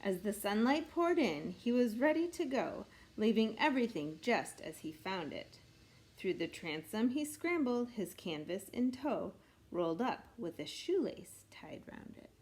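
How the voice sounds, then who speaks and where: A woman reading a rhyming picture book aloud in an expressive, measured storytelling way meant for children. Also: medium pitch, a little hiss or hum. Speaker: storybook reader.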